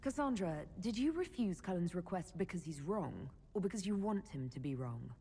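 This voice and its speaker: A woman's voice: fairly low pitch, animated, moderate pace, warm tone, slightly rough. A woman playing a composed, direct heroine who leads others in a fantasy video game. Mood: questioning. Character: composed heroine, bold leader of the Inquisition. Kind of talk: dramatic video game dialogue. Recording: game audio with background ambience.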